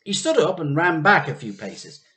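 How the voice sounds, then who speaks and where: A man reading a children's story aloud with expressive, theatrical narration and dramatic pauses. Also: on the high side.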